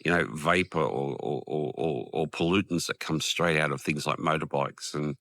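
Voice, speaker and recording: Croaky, gravelly Australian man with a cold. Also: a bass voice, moderate pace, fairly bright tone, no room sound. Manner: rambling, with frequent ums and restarts. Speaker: vlogger. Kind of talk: casual vlog talk to camera. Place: outdoors in a quiet park.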